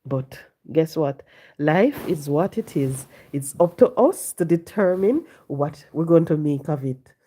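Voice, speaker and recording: A woman, hoarse and congested from the flu, talking on a casual live stream, preaching and repeating her points to viewers from home. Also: low voice, lively intonation, a little slow, mellow. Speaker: livestream host. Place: home, sitting.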